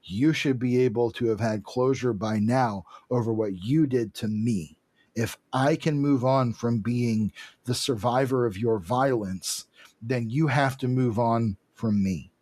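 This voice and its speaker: Male podcast co-host, medium pitch, conversational recap style, close dry recording. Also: unhurried, darkish tone, no echo. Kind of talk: casual comic-book recap and commentary.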